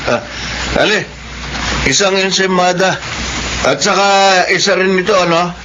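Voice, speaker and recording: A folksy, blunt village father, acted by a man, with a slightly high male voice. He speaks in Filipino drama style. The recording is dull, with clear background noise. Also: moderate pace, lightly acted. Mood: easygoing. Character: plain-spoken poor village father, doubtful of schooling. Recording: dull lo-fi audio, noisy ambience.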